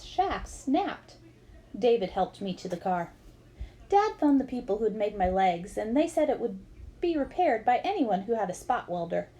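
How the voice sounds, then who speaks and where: A woman's voice: medium pitch, dark tone. A woman reading a memoir aloud as scripted storybook narration. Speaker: reader of a book aloud.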